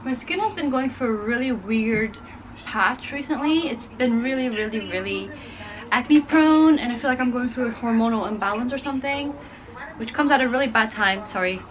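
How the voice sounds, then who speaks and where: A woman's voice: fairly high pitch, moderate pace, highs cut off. A woman in her twenties, a native Portuguese speaker, chatting casually to the camera in her bedroom, rambling with lots of fillers. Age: 20s. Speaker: vlogger. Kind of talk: casual vlog chat. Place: bedroom at home. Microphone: camera on a desk in front.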